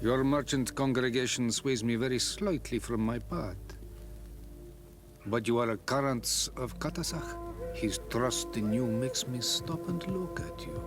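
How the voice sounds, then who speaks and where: An actor playing a solemn, testing spiritual leader of an island people in a video game, speaking in a deliberate, ceremonious way. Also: male voice, medium pitch, over music, close to an everyday voice. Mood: solemn, measured. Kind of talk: video game dialogue acting.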